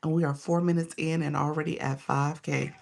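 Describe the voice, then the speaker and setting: A hoarse, tired woman coaching on a phone live stream, greeting viewers by name in casual chat between her points. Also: low-pitched, fairly even intonation, fairly quick, a little mellow.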